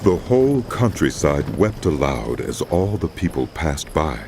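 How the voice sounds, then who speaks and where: A man reading scripted narration for a dramatized scripture recording, with a deep, gravelly voice. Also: very animated, a little slow, background noise.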